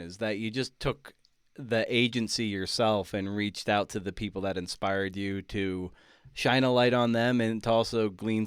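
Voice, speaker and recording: A man's voice: lowish voice, smooth, no room sound, studio quality. A man hosting an interview podcast, talking in a conversational, thoughtful and encouraging way. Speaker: podcast host.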